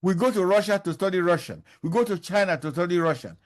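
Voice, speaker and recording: A man's voice: high-pitched, lively intonation, fast talker. An older man answering interview questions, emphatic and repeating his key points. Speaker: professor, interview guest.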